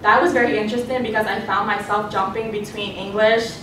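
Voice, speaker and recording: A woman's voice: steady tone, brisk. A young woman whose first language is Cantonese, giving a student presentation in a conversational way with fillers and restarts. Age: young adult. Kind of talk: presentation talk. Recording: echoey hall, voice from a distance, background rumble.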